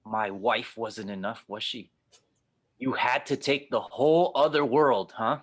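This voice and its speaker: A man's voice: medium pitch, fairly even intonation, unhurried, close to an everyday voice. A man playing an angry, terse cyborg fighter in an audio drama table read. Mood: bitter rage. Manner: terse, bitter.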